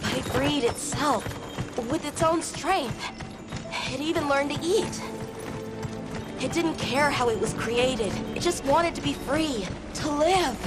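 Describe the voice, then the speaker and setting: A woman's voice: high voice, slow. An actress voicing an earnest young woman companion in a video game cutscene, speaking in a heartfelt, reflective way. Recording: game audio with loud music.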